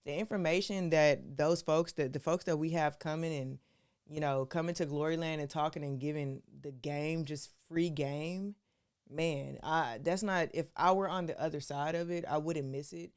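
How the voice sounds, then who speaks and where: A woman's voice: deep voice, steady tone, moderate pace, smooth. A woman hosting a live stream, talking to her viewers in a casual, chatty way and calling them y'all. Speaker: show host.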